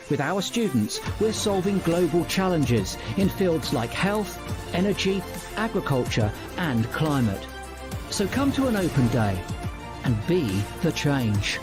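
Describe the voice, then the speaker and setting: A man's voice: on the high side, sing-song, unhurried. A man reading a scripted university promotional voice-over. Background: music bed.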